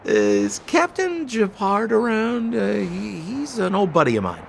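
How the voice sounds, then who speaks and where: A flamboyant game rogue with a high, swooping voice. He is glib and wheedling, a sly treasure hunter working his charm, with some noise behind him. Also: big pitch swings, a little slow, creaky, exaggerated voice. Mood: chummy. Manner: theatrical, ingratiating, glib. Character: flamboyant, sly, wheedling young male treasure-hunting con man. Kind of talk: video game voice acting.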